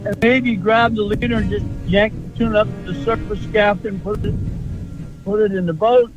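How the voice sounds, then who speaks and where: A man's voice: high-pitched, steady tone, moderate pace, over music. A man phoning in a fishing report from a marina, telling it in a dry, matter-of-fact way. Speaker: charter fishing caller. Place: outdoors by a marina. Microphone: phone. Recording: dull phone-like line with noise.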